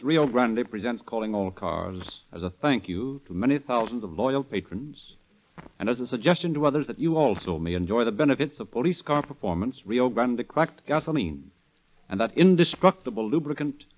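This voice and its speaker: A man's voice: mid-range voice, slight background noise. A man announcing and narrating a 1930s radio show in a formal, polished scripted delivery. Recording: muffled old 1930s radio recording.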